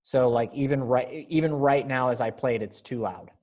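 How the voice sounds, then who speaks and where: A man's voice: mid-range voice, normal speed, darkish tone. A man chatting casually as he hosts a rehearsal over a video call and sorts out the sound. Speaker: rehearsal host running sound and screen share. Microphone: computer microphone on a video call.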